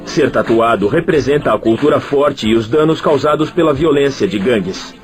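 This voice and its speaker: A man giving scripted documentary narration in an even, measured delivery. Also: medium pitch, soft background music.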